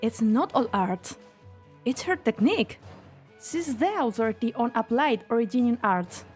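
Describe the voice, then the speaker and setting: A woman's voice: a little high, animated, moderate pace, a little mellow, soft background music, lightly acted. A woman playing a wary lab colleague in a dramatic game fan dub. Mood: wary, insistent.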